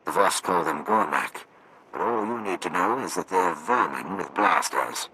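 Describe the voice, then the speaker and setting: A man playing a contemptuous, hard-edged Imperial in a video game, with a husky, forceful voice. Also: low voice, animated, moderate pace, a little hiss or hum, exaggerated voice, low-quality recording. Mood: scornful. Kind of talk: video game cutscene acting.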